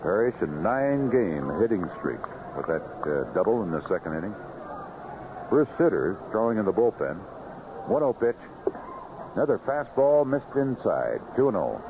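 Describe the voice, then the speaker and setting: Male American baseball play-by-play voice, lively inflection, measured clipped descriptions, vintage radio recording with clear background noise. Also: fairly low pitch, muffled recording. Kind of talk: live radio sports commentary. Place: outdoor ballpark broadcast booth. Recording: noisy vintage 1970s AM radio broadcast.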